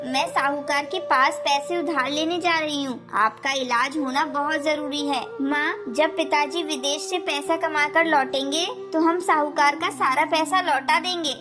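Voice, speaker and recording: A woman's voice: high voice, steady tone, measured pace, hardly any pauses, music in the background. A woman voicing a dutiful young daughter bird in a cartoon story, earnest and concerned. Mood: worried.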